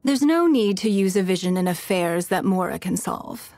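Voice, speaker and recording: A woman voicing a poised, calculating, wealthy noblewoman in a fantasy video game, speaking in a measured, formal and haughty manner. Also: lowish voice, lively intonation, a little mellow, no room sound, clean studio sound. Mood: composed, confident. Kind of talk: video game character voice lines.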